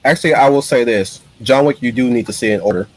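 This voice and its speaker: A man's voice: medium pitch, fast talker, no room sound. A man hosting a live stream in casual chat, blunt and given to repeating words as he starts his sentences. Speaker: stream host.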